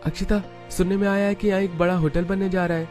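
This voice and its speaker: Hopeful young family man acted by a man, cartoon drama, lifted pitch, earnest delivery, faint music. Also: flat delivery, fast, a little mellow, lightly acted. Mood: eager. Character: hopeful young husband and son in poor family. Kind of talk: cartoon story dialogue. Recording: music bed behind the voice.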